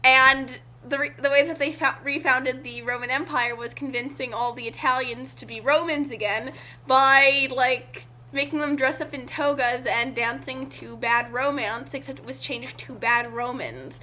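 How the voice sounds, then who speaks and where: A woman casually reading fan fiction aloud and chatting, rambling excitably and stumbling over words. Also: high voice, normal speed.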